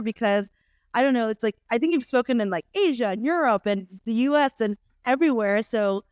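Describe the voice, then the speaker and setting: A woman's voice: on the high side, clean tone, no echo. A woman hosting an interview podcast, effusive and gushing, with many casual fillers.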